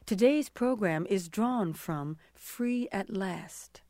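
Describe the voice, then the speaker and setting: Mellow woman narrating history. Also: medium pitch, lively intonation, no room sound, clean studio sound. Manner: deliberate, formal phrasing.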